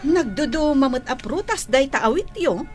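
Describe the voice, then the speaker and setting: Actress as a high, lilting, nosy village girl. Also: lively intonation, normal speed, darkish tone, soft background music. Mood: curious, teasing. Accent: Ilocano. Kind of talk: Ilocano radio comedy-drama acting.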